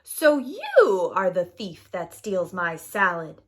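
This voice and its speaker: A woman's voice: fairly low pitch, huge pitch swings, slow, heavily acted. Woman putting on a harsh, gleeful storybook witch. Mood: accusing. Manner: menacing, gloating. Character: cruel gleeful old witch claiming a child.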